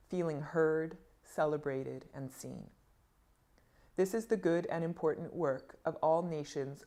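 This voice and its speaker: Low-voiced woman narrating. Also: a little mellow. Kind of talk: scripted narration.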